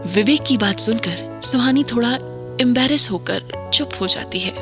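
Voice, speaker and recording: A woman giving steady scripted narration for an audio drama. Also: on the high side, normal speed, music in the background.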